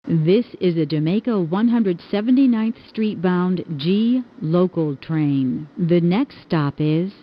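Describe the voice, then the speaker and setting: A woman reading recorded subway announcements in a measured, formal manner. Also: lowish voice, dry recording. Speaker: transit announcer. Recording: dull, lo-fi announcement audio.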